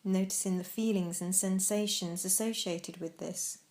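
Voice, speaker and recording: A woman narrating a guided mindfulness meditation, giving gentle, measured instructions with pauses. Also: medium pitch, steady tone.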